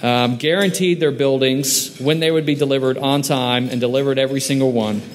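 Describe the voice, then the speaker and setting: A man's voice: mid-range voice, fairly even intonation, brisk. A man hosting a lunch round table as mayor, introducing a guest in a friendly, upbeat way with many fillers. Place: large hall at a lunch event. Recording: echoey hall with faint noise.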